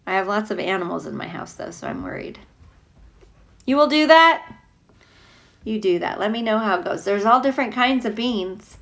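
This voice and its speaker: A woman's voice: mid-range voice, lively intonation, moderate pace, vocal fry, long pauses, fairly bright tone. A woman teacher reading a picture book aloud to children, chatting playfully and putting questions to her young listeners. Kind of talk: children's read-aloud with casual asides to young viewers.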